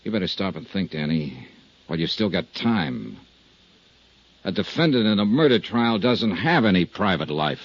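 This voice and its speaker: A man's voice: deep voice, big pitch swings, normal speed, slight background noise. An American actor playing a shrewd, wry male insurance investigator in a 1950s radio detective drama, questioning in a probing, clipped way. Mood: needling, pressing. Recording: muffled 1950s radio recording.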